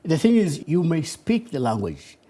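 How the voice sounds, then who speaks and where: Mellow husky man in discussion. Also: on the high side, sing-song, normal speed. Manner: hesitant, restarts and repeats words while building a point. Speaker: panel guest. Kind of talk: studio panel discussion.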